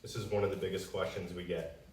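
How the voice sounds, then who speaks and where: A man's voice: sits low, flat delivery, fast talker. An American man who represents a company, explaining answers to customer questions in a plain, conversational way. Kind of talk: casual explanatory Q&A. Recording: roomy echo, distant microphone.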